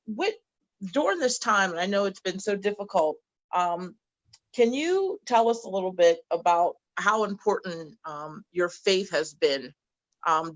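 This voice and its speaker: A woman's voice: lowish voice, a bit bright, no room sound, highs cut off. A woman hosting a panel discussion on a video call, chatty and encouraging, with frequent fillers. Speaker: host.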